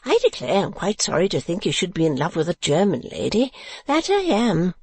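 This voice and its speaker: A fussy middle-aged mother as a woman narrator acts her out, high and swooping in pitch. She gushes and exclaims in a storybook reading, scatterbrained and quick to take offence. The sound is dry and close. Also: big pitch swings, a little mellow, breathy edge, no room sound, full character voice. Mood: indignant. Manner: gushing, emphatic, exclamatory. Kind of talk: dramatised classic novel reading.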